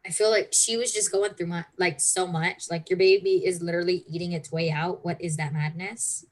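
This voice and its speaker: A woman's voice: lowish voice, steady tone, a little fast. A woman chatting about books in an informal, emphatic, opinionated way. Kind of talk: casual book discussion chat.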